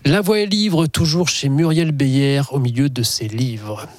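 A man's voice: mid-range voice, unhurried, dry recording. Curious male interview host. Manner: curious, asks short follow-up questions. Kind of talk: conversational interview.

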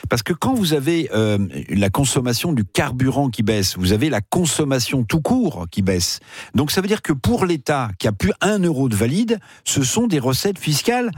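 An emphatic man making his case on a radio talk show. His pitch swings widely as he argues, and the studio sound is close and dry. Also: very animated, normal speed, husky, no room sound. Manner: emphatic, indignant. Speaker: radio commentator. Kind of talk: opinionated radio debate.

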